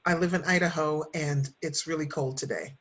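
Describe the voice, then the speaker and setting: A woman's voice: low-pitched, steady tone, moderate pace, fairly bright tone. A woman speaking conversationally as a guest writer on a podcast, introducing herself and her work.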